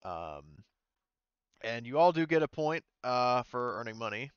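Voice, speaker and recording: A man's voice: medium pitch, very animated, a little slow, smooth, no room sound, dull audio. A man speaking informally as game master, chatting through a tabletop session in a loose, hesitant way. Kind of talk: casual tabletop game chat.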